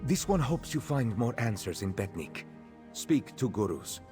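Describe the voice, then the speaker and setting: A man voicing a gentle cat-folk former captive in a video game, speaking in a measured, formal way and referring to himself in the third person. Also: medium pitch, sing-song, over music. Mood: measured, informative.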